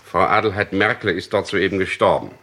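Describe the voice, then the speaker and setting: An actor playing an insistent police chief inspector in a radio crime drama, speaking in a dry, probing, formally polite way. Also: male voice, deep voice, brisk, pushed and bright, full character voice. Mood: brisk, insistent.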